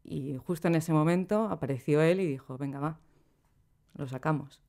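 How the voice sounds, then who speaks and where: A middle-aged woman, a poet, talking in a relaxed and reflective way as a podcast guest, laughing easily between thoughts, recorded in a small studio room. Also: deep voice, moderate pace. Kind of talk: relaxed conversational interview. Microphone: studio microphone on boom arm.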